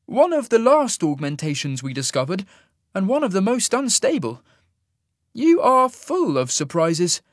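A man voicing a commanding, calm immortal adjudicator in a fantasy audiobook, with measured, formal speech. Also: sits high, dry recording, studio quality, pitched above the performer's own voice. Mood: impressed.

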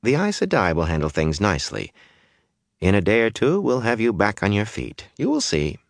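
A man's voice: fairly low pitch, huge pitch swings, normal speed, creaky, no room sound, clean studio sound, voice pushed up, full character voice. A man voicing a motherly village innkeeper woman in an audiobook, coaxing and soothing yet firm.

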